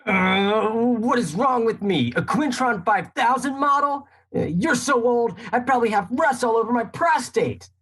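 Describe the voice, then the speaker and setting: An actor plays a young hungover racer in a comic radio drama. The voice is rough and husky, swinging widely in pitch with whiny exasperation and panic. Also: animated, unhurried, few pauses, a bit bright, soft background music. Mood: exasperated.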